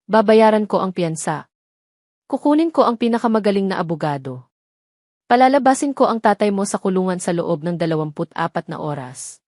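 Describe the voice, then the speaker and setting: A woman's voice: medium pitch, expressive, fairly quick, darkish tone, dry recording, clean studio sound, close to an everyday voice. A woman voicing a cold, domineering young billionaire man in an audio story, blunt and emotionless.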